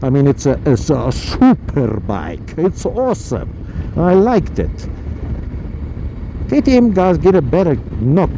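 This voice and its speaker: A man's voice: a little high, wildly swooping pitch, a little slow, warm tone. A South African man chatting casually and rambling as he rides his motorbike through town, recorded on a helmet mic outdoors. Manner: rambling, chatty, with fillers and playful rhymes. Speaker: motovlogger. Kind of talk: casual motovlog chat while riding. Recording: noisy ride with road and wind noise.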